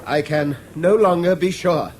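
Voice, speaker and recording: An actor with an English accent playing a conscientious, stubborn middle-aged schoolmaster in a 1970s British radio drama, earnest and precise. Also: male voice, a little high, a little slow, a little mellow, close to an everyday voice. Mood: grave. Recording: faintly noisy old radio broadcast.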